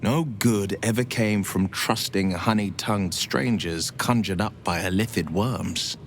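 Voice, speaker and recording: An actor playing a cautious, earnest man in a video game, speaking in a measured, eloquent, admonishing way. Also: fairly low pitch, a little hiss or hum. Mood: wary, earnest. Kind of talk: video game character acting. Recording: loud background music.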